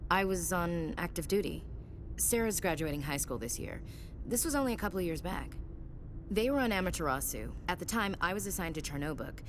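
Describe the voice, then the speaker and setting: Game role: down-to-earth female soldier, woman acting, notably low pitch, frank informal talk, faint rumble behind. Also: animated, fairly quick, natural voice. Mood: earnest, proud. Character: tough, candid female soldier, protective big sister. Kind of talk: naturalistic video game dialogue acting.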